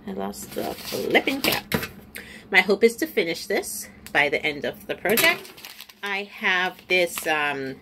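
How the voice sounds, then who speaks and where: A woman in her forties, a beauty vlogger talking to camera at home, chatty and a little stuffy and nasal, dropping into low asides. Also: expressive, unhurried, noisy background. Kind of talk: casual chat to camera. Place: small room at home, indoors. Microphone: camera in front at close range.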